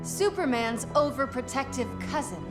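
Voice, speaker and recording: An actress playing a fierce, protective young superheroine in a video game cutscene. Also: female voice, high-pitched, animated, normal speed, music in the background. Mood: defiant. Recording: echoing, loud score behind.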